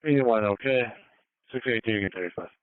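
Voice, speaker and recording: A man's voice: lowish voice, fast talker, smooth, no echo. A man working as a police dispatcher, making clipped, procedural calls over a two-way radio. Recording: phone-like police scanner radio audio.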